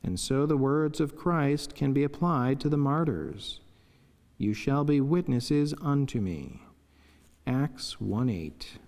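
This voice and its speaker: A middle-aged friar reading a devotional reflection in a solemn, measured, formal way from a chapel lectern. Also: male voice, mid-range voice, lively intonation, darkish tone. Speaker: friar preaching. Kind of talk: read devotional reflection and prayer. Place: wood-panelled chapel indoors, at a lectern. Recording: long echoing chapel reverb.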